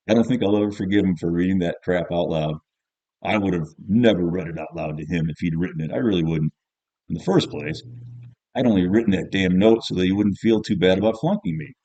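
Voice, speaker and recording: A man's voice: deep voice, warm tone, no room sound, lo-fi sound. A man reading as a jaded, cynical teenage schoolboy, narrating an audiobook in the first person in a colloquial, grumbling, offhand way.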